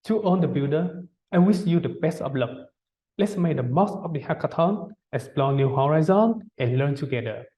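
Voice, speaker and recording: A man's voice: a little high, expressive, normal speed, mellow. Formal man in an echoey room. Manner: formal, scripted delivery. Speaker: business development manager. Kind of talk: prepared presentation.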